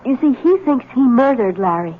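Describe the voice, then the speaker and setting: An actress playing a demure, proper and guarded wife in an old-time radio drama, speaking with genteel reserve. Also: female voice, fairly high pitch, lively intonation, fairly quick. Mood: guarded, serious. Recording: dull, faintly noisy vintage radio recording.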